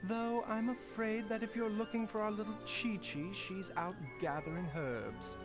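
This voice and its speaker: A man's voice: sits high, moderate pace, music in the background, close to an everyday voice. A man playing a courteous, knowing pharmacist doctor in a video game, with genteel, wordy, teasing politeness. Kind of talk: scripted game dialogue.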